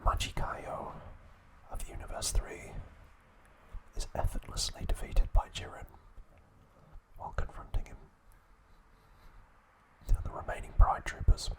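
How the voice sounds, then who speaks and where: Guy doing asmr, all whispered, super slow, hushed little phrases w pauses. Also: low-quality recording. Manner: hushed, unhurried, pausing between short phrases. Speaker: ASMR video host. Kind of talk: whispered ASMR trivia recap.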